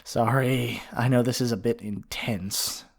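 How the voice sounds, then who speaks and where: A man's voice: mid-range voice, fairly even intonation, a little slow, vocal fry. A man playing a flirty, possessive half-dragon librarian in an intimate ASMR roleplay, speaking earnestly and affectionately with tender endearments. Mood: relieved, earnest. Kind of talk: ASMR romantic roleplay monologue.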